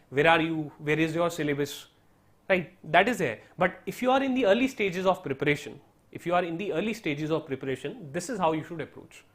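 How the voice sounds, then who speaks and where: A man with an Indian English accent and Hindi as his first language, explaining an exam study strategy step by step in an online lesson. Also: high voice. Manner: explanatory, repeats "right?" and "so therefore", mixes in Hindi. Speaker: teacher.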